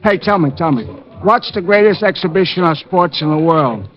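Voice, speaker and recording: An actor playing a slow-witted, boastful American prizefighter with slangy, ungrammatical speech in an old radio detective drama. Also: male voice, a little high, expressive, close to an everyday voice. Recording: muffled old radio broadcast recording.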